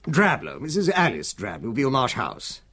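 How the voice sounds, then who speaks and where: Avuncular, husky old British solicitor. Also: male voice, medium pitch, huge pitch swings, moderate pace, sharp tone, heavily acted. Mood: musing, wry. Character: avuncular older male solicitor, head of the firm, rambling. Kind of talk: radio drama acting.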